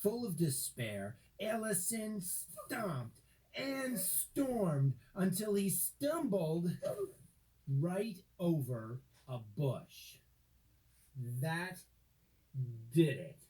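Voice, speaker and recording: A man narrating a children's story from a script, with dramatic, deliberate phrasing and expressive emphasis. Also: high voice, rough recording.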